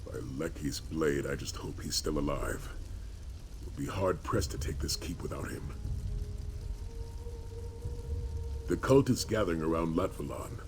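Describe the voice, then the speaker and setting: A very low male voice for a grave warrior leader in a fantasy game. He speaks in measured, solemn, rousing phrases, weary yet hopeful. Faint ambient noise sits behind him. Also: gravelly. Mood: grim, urgent. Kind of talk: video game voice acting, dramatic fantasy dialogue.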